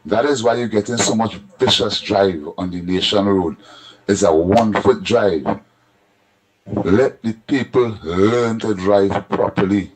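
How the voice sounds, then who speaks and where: A man's voice: fairly low pitch, unhurried, poor audio. A Trinidadian man leaving a voice note for a call-in show, ranting in an emphatic, repetitive, insistent way. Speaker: caller in a voice note. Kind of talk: call-in rant.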